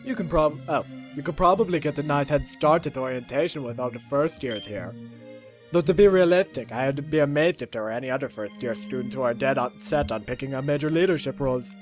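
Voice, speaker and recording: A man voicing an ambitious, self-assured student in a visual novel, speaking in a formal, measured way. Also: mid-range voice, soft background music, close to an everyday voice.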